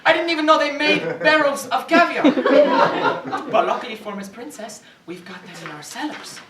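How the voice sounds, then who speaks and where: A woman's voice: high voice, normal speed, a crowd in the background, lightly acted. Casting: tense, aggrieved hotel manager played by a woman, Spanish accent, sarcastic cartoon complainer, distant echoey recording. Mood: exasperated, sarcastic. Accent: Spanish, put on for the role. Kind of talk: staged reading of an animated cartoon script. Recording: echoey room, distant mic, faint noise.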